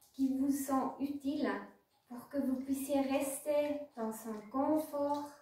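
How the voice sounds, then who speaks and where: Very slow, high-voiced woman guiding meditation. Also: darkish tone. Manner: slow, pausing instructions in short phrases. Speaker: yoga nidra teacher. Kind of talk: guided meditation. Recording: very echoey room, distant microphone.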